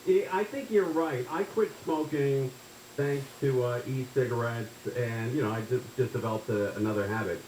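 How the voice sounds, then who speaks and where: A man's voice: mid-range voice, moderate pace, darkish tone, roomy sound, a little hiss or hum, low-quality recording. A man in his forties hosting a casual talk radio show, rambling and folksy, often tagging his sentences with "right?". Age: 40s.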